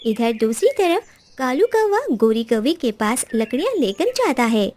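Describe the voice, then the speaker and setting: Animated woman narrating a children's story. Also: on the high side, unhurried.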